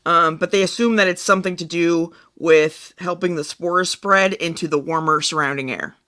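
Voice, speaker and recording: Casting: male podcast co-host, higher voice with a bright, forward edge, conversational, thinking out loud. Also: steady tone, normal speed, clear, resonant tone. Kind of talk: casual chat, relaying a science story.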